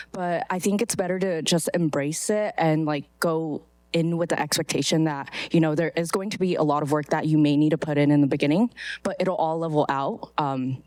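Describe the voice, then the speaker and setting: A young woman from Malaysia answering panel questions as a med student. Her voice sits on the low side and sounds close and dry. She talks casually, with lots of "um"s. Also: darkish tone, dry recording. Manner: friendly, many "um"s, direct to audience. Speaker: first-year medical student panelist.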